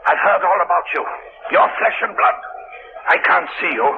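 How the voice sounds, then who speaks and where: An actor playing a ruthless, sneering criminal mastermind in an old radio drama. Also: male voice, sits high, expressive, normal speed, creaky, narrow, tinny audio. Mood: wary, defiant. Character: ruthless male criminal mastermind, gloating and cruel. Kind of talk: 1930s radio acting. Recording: scratchy old radio broadcast, noisy.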